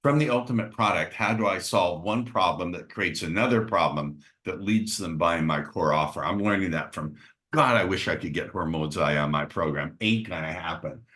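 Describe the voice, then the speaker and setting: Male podcast host, very low pitch, bright forceful tone, eager conversational delivery. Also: moderate pace. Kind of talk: interview podcast wrap-up.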